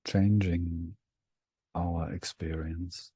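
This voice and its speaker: Slow, very dark-voiced man guiding meditation. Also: low voice, flat delivery, slightly rough, no room sound. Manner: hushed, unhurried, with long pauses. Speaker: meditation guide. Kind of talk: guided meditation.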